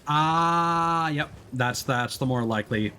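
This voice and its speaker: A man's voice: a little high, slow pace, pushed and bright, slight background noise. A man giving casual, off-the-cuff live commentary as he streams a video game.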